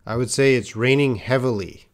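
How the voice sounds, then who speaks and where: A man's voice: medium pitch, normal speed. A man teaching English in a live online lesson, patiently reading out viewers' answers and correcting them with repeated example phrases.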